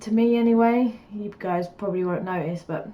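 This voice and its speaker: A woman's voice: medium pitch, normal speed, highs cut off. A woman with a stuffy cold, chatting through a game build in a casual, rambling voice-over with many fillers. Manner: rambling and chatty, lots of fillers, laughs often. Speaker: gaming YouTuber.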